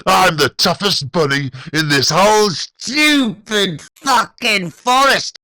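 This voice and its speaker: A man's voice: medium pitch, big pitch swings, measured pace, fairly bright tone, breathy edge, no echo, pitched above the performer's own voice, exaggerated voice. A man voicing a boastful, menacing male rabbit bully, swearing and swaggering.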